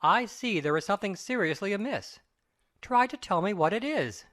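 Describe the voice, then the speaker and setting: A man playing a sober, good-natured gentleman lawyer in a dramatic audiobook, speaking in a formal, earnest manner. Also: high voice, lively intonation, moderate pace. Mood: concerned.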